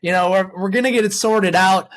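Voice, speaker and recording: A man's voice: sits high, fairly even intonation, brisk, fairly bright tone. A man hosting a sports show in a casual fan's style, repeating phrases for emphasis. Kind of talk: casual fan chat.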